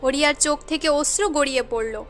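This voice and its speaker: A woman's voice: high-pitched, fast talker, a bit bright, soft background music. A woman narrating a moral cartoon tale in an earnest, dramatic storytelling manner.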